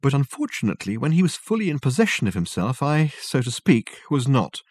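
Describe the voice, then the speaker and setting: A man voicing an ancient male alien ghost in an audiobook, grave and formal, with measured, solemn speech. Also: medium pitch, sing-song, darkish tone, husky, no room sound, pitched above the performer's own voice. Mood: weary, solemn.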